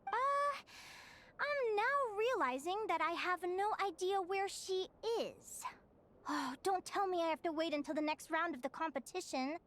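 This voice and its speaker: A woman playing a young fae girl in an English anime-style game, earnest and vulnerable yet brave, with emotional, halting sincerity. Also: extremely high pitch, animated, fairly bright tone, full character voice. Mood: eager, determined.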